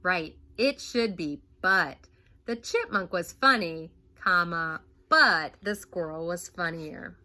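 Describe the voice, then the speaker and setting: A woman's voice: on the low side, slow pace, sharp tone. A woman teacher reading a picture book aloud to young children, stopping to ask the class questions. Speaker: first grade teacher.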